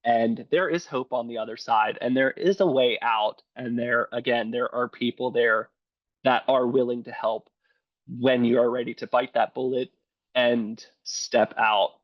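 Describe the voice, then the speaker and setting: A man, hoarse from bronchitis, speaking slowly and earnestly as a guest on a remote interview. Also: medium pitch, fairly even intonation, dry recording, lo-fi sound. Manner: slow, earnest and encouraging. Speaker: podcast guest.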